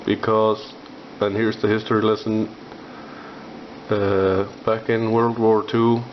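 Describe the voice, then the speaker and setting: A young Icelandic man with an Icelandic accent, rambling about building a scale model with lots of filler sounds and pauses. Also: fairly low pitch, little pitch movement. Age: young adult. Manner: halting, many filler sounds. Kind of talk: rambling hobby vlog. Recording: dull lo-fi with faint noise.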